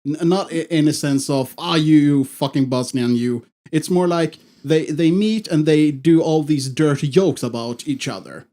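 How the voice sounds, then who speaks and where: Halting, rambling podcast host. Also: male voice, on the high side, dark tone. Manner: halting, with many fillers and restarts. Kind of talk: casual chat.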